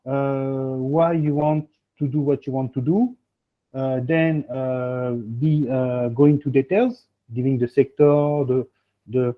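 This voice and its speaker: A man with a French accent coaching applicants in a webinar, rambling and checking in with "okay?" as he goes. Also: medium pitch. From France. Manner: rambling, peppers speech with "okay?" and "huh?". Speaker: admissions coach hosting a webinar. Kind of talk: advice in an online webinar. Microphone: video call. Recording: tinny phone-like video call audio.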